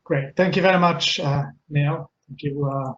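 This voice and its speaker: A man's voice: a little high, steady tone, unhurried. A middle-aged man from Israel with a mixed Israeli accent, presenting an earnest webinar talk full of hesitations and fillers. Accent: Israeli, mixed with Texan, Scottish and London. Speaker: webinar speaker and coach. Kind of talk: presenting a pre-recorded webinar. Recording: dull lo-fi webinar audio, faint hiss.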